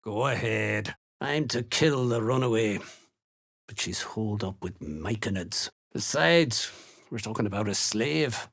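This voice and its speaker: Menacing dwarf soldier, gravelly, slow, blunt, rustic phrasing, fantasy game villain. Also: male voice, on the low side, expressive, a bit bright, full character voice. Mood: gruff, businesslike. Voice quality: gruff, raspy. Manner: blunt, threatening, folksy rustic turns of speech. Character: menacing, gruff Duergar slave hunter, rough soldier. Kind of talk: video game character dialogue.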